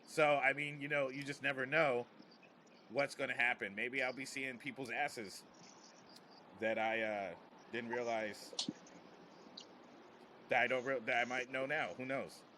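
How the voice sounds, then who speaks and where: A man's voice: medium pitch, fairly even intonation, a little slow, pauses often, background noise. A man who works as a comedian, hosting a casual podcast chat in a rambling, joking, slangy way.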